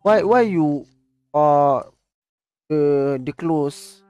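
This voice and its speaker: A man's voice: fairly high pitch, very slow, darkish tone, no echo, faint music behind. A young man from Malaysia with a Malaysian English accent, chatting on a video call in hesitant, broken English from his bedroom through a headset mic. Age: young adult. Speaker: streamer. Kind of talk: casual video chat with a stranger. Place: bedroom with a gaming chair.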